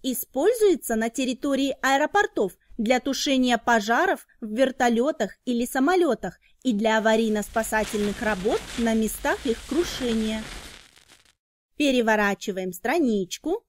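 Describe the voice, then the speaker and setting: A woman reads scripted educational narration for children, explaining in short, clearly enunciated phrases. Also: fairly high pitch, expressive, moderate pace, dry recording.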